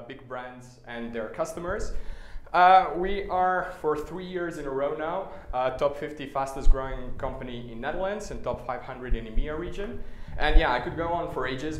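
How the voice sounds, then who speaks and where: Casting note: man from Serbia, tech meetup speaker, middle pitch, casual and wry, echoey room with faint noise. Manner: casual, many "uh" fillers, wry asides. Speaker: conference speaker. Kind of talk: conference talk with slides. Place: meetup stage, indoors.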